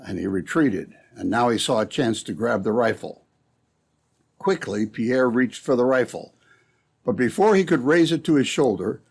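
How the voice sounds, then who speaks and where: A man's voice: mid-range voice, creaky, a little mellow, slightly rough. A man narrating as an old-time radio announcer, with scripted, dramatic and emphatic delivery.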